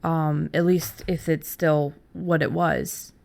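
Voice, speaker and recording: A woman hosting a conversational podcast about writing, halting a little and repeating words as she forms her thoughts. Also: sits low, level tone, vocal fry, darkish tone. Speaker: podcast host and writer.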